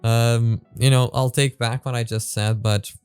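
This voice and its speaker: Male reaction host, average pitch, casual and halting commentary with repeated words, light music underneath. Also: flat delivery, darkish tone, smooth, no room sound, studio quality.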